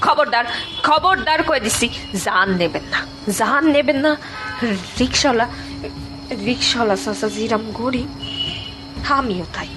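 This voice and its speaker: An actress playing a poor, distraught Bangladeshi woman in an audio drama, pleading and repeating her words. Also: high voice. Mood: pleading, bitter. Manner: stammering, repeats words, drawn-out. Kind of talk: emotional audio drama acting. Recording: music behind the voice.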